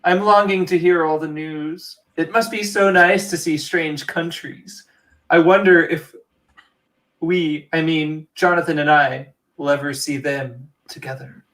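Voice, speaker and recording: A man's voice: fairly high pitch, steady tone, a little slow, fairly bright tone. A man playing a wistful, devoted young Victorian fiancée, reading a diary entry in an amateur drama. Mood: wistful, longing. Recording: distant from the microphone.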